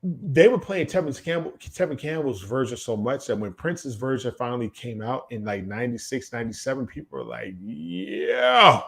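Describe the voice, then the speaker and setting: A man with a mid-pitched, highly animated voice chats casually on a music podcast. He is emphatic, often repeating a phrase to drive it home as his pitch moves up and down. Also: moderate pace, creaky, few pauses, breathy edge. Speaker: podcast co-host.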